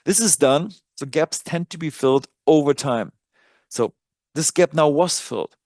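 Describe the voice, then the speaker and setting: A man's voice: fairly high pitch, moderate pace, a little mellow, no room sound. German man thinking aloud, dry close sound. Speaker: trading analyst and presenter. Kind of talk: live stock chart commentary, casual.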